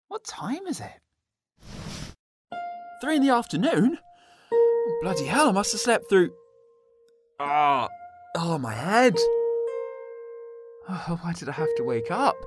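A man playing an anxious, easily rattled young exchange student in a radio drama, exclamatory and agitated. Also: a little high. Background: music.

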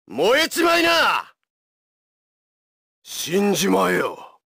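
An actor playing a hot-headed, brutal young villain with fire powers, shouting aggressive, rough battle taunts in a video game. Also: male voice, high-pitched, wildly swooping pitch, takes it slowly, no room sound, studio quality, heavily acted. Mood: violent glee.

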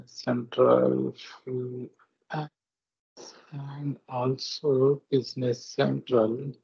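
Husky man narrating a halting software demo. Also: mid-range voice, level tone, no echo. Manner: halting, short instructional phrases. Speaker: software tutorial presenter. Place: screen recording voice-over. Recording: muffled lo-fi screen-recording audio.

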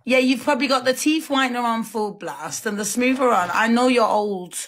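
A woman on a live stream shouting to hype viewers for gifts, loud and rowdy. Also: fairly high pitch, fairly quick. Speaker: livestreamer.

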